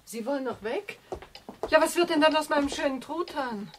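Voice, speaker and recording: An actress playing a fussy, complaining housekeeper in a 1970s German radio crime drama, speaking fretfully. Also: female voice, high voice, animated, normal speed, background noise.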